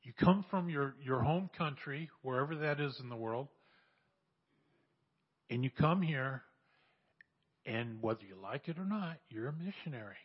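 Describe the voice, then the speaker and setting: Man, 60s, husky church speaker, urgent exhortations, lo-fi muffled recording. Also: medium pitch, a little slow, pauses often. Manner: animated, exhorting, repeats phrases. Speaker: church speaker sharing mission testimony. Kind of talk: sermon testimony.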